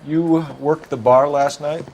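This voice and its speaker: An actor playing a stern, principled 1940s American police detective in a video game, with a clipped, insistent, businesslike manner. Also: male voice, fairly high pitch, fairly even intonation, slow pace, darkish tone, highs cut off, slight background noise. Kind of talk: scripted dramatic game acting, police questioning.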